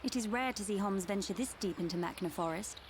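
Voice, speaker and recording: A woman's voice: mid-range voice, fast talker, a little hiss or hum, natural voice. An actress playing a formal, haughty young noblewoman with a posh British accent in a video game. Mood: courteous, composed. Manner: formal, stately, aloof. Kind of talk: video game cutscene acting.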